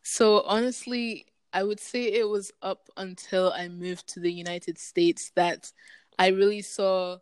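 A young woman, a university student whose first language is German, calmly answering as a podcast guest. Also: mid-range voice, measured pace, clean tone, no room sound. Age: young adult. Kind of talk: casual interview answers.

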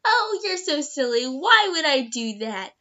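A woman voicing a swooning, silly young Juliet in an improvised scene, gushing in a slow, high voice. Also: very animated, sharp tone, highs cut off, exaggerated voice.

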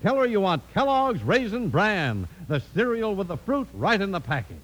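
A man narrating and pitching the sponsor as a vintage radio announcer, with a folksy, polished delivery. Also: very animated, normal speed, very noisy.

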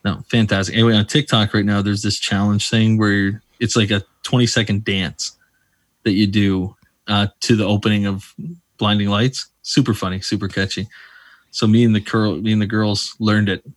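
A man's voice: fairly low pitch, flat delivery, moderate pace, no echo. A man in his late thirties co-hosting a podcast, talking casually with enthusiasm and playful teasing. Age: 30s. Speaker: podcast co-host. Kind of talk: casual chat.

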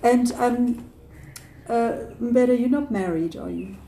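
A woman's voice: a little high, slow pace, gravelly, dark tone. A middle-aged woman chatting casually as a podcast co-host, asking guests short questions. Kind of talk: casual interviewing. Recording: echoey room, faint background noise.

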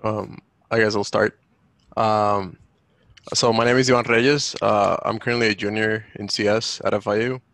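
Gravelly-voiced young Dominican student. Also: male voice, on the low side, fairly even intonation, normal speed, fairly bright tone, no room sound. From Dominican Republic. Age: young adult. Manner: casual, many fillers like um and like. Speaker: student guest. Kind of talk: online panel Q&A.

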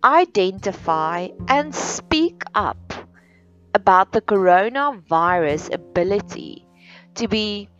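A woman from South Africa with an Afrikaans accent, hosting a solo podcast in a slow, earnest, deliberate way with many pauses. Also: mid-range voice, animated. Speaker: podcast host. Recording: dull lo-fi sound with faint music.

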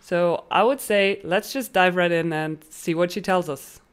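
A woman's voice: fairly low pitch, measured pace, clean tone. A woman hosting an interview show, introducing her guest and asking prepared questions.

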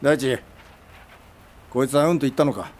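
An actor in a radio drama playing a crude, proud old film director, curt and teasing, with a gravelly voice. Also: male voice, medium pitch, normal speed, natural voice. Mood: needling. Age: older. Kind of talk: Japanese radio drama acting.

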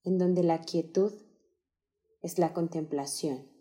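A woman guides a meditation in a fairly low, soft-toned and mellow voice. Her delivery is very slow and calm, with unhurried phrases and pauses between instructions.

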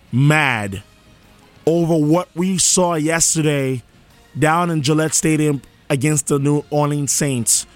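Radio host guy, voice kinda high and hoarse, cracking, earnest, dry studio mic. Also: dry recording. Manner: earnest, heartfelt thank-yous and station promos. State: sore, cracking voice. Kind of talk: live college radio show hosting. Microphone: studio microphone.

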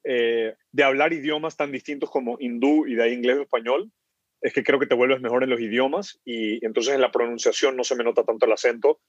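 A man's voice: medium pitch, steady tone, a little fast, dry recording. A man from Ecuador, a startup founder, talking casually as a podcast guest, often repeating words as he thinks. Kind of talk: casual interview conversation. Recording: dull muffled sound, dry.

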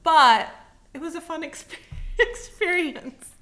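A woman's voice: very high-pitched, slow, bright, forceful tone. A woman in her thirties from Australia with an Australian accent, co-hosting a casual chat and laughing often as she tells stories. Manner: chatty, laughs often, self-deprecating. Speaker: podcast co-host.

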